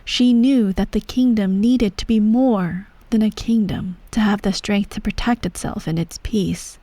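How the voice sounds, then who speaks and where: A woman playing an imperious, self-righteous empress who dotes on her child, speaking softly and formally in a bedtime ASMR roleplay. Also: medium pitch, no echo, natural voice. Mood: solemn, self-righteous. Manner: soft, measured, formal, gently condescending. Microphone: close, dry microphone.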